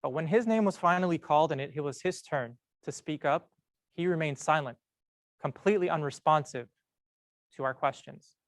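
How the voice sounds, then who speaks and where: A young man tells a prepared story in a measured, earnest way, slowing down and pausing to stress key words. His voice sits a little high for a man. The sound is close and dry, with almost no room echo. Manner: measured, earnest delivery with slow emphatic pauses. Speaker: medical student speaker.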